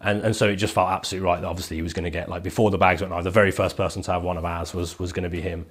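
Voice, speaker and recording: Man, thirties, stuffy nasal cold, lowish voice, rambling casual talk. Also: flat delivery, rapid-fire, breathy edge. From England. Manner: casual, rambling, heavy use of "like". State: has a head cold. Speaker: podcast guest, leather maker. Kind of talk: casual chat.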